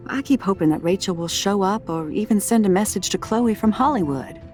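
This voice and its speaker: A woman with an American accent playing a warm, wry middle-aged mother in a video game, talking in a folksy, motherly, teasing way. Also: mid-range voice, expressive, a little fast, close to an everyday voice. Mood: wistful, hopeful. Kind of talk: video game acting, conversational dialogue. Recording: music under the voice. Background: background music.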